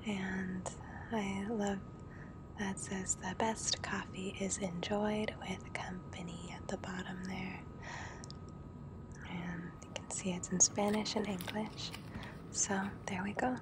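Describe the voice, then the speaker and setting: Woman, whispered ASMR kitchen tutorial, slow gentle delivery, noticeable background noise. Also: medium pitch. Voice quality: soft unvoiced whisper. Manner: gentle, unhurried, explains each step. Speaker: home coffee maker demonstrating. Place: home kitchen, indoors.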